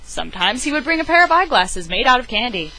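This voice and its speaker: A woman's voice: a little high, very animated, fast talker, pushed and bright, poor audio. A woman giving a theatrical, emphatic read-aloud of a children's picture book, as an amateur storyteller on a webcam microphone.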